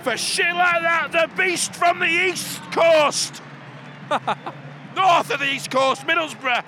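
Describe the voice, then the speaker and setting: A man's voice: very high voice, takes it slowly. A man with a Yorkshire accent giving irreverent, jokey, colloquial banter as he commentates on live football. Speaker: football commentator and podcast host. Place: outdoors at a football ground. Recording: clear outdoor background noise.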